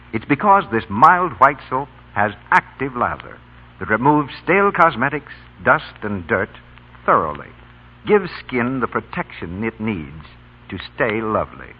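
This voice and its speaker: Casting: male old-time radio announcer, very animated sales delivery, tinny narrow broadcast sound. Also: mid-range voice, unhurried, breathy edge. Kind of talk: scripted 1930s-40s radio commercial announcing.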